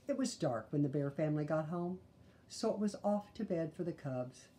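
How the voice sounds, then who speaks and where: Expressive older woman reading a storybook, mellow voice. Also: low-pitched, normal speed. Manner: expressive, with small asides to listeners. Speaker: storybook reader. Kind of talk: children's read-aloud narration. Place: small living room, indoors.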